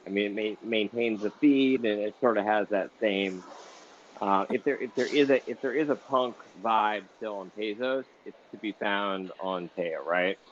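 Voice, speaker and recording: A man with a fairly low voice hosts a casual interview. He speaks hesitantly, with repeated false starts. The online audio is slightly dull with faint background noise. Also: moderate pace. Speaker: interview host. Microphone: online voice call. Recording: muffled, noisy online audio chat.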